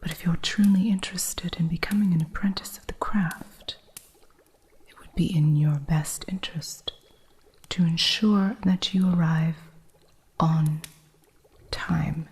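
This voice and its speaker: A stern, impatient witch shopkeeper, whispered by a woman in an ASMR roleplay, speaks in a low, breathy whisper. She talks slowly and deliberately, with a strict and haughty edge. Her voice sits deep for a woman. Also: steady tone, full character voice. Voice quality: soft unvoiced whisper.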